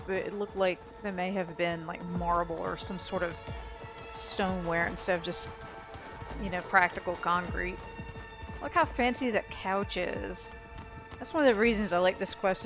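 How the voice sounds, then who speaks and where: Casual woman gamer over game music. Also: lowish voice. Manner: sarcastic asides. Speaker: video game commentator. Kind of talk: casual gameplay commentary. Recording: game music clearly behind the voice. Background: video game music.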